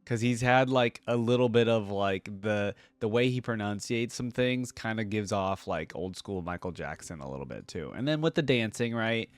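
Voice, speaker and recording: A man's voice: fairly low pitch, animated, normal speed, hardly any pauses, clean tone, no room sound. A man hosting a reaction video, giving casual commentary.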